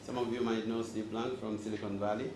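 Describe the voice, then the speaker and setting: Zambian guy talking to a live crowd, lowish voice, jokes a lot, echoey room with noise behind. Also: flat delivery, brisk. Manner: joking and engaging, quizzes the crowd. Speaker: host and speaker. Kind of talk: live talk to an audience. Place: event room with audience.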